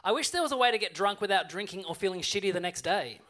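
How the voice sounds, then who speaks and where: High, bright-voiced male comedy quiz host. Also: expressive, quick pace. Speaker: comedy panel show host and quizmaster. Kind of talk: live comedy panel hosting, reading quiz questions. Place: live venue stage with audience. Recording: live comedy show before an audience.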